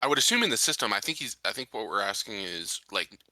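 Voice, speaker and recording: A man's voice: fairly low pitch, sing-song, fast, sharp tone, no echo. A man playing a tabletop game, suggesting strategy to the other players in casual chat.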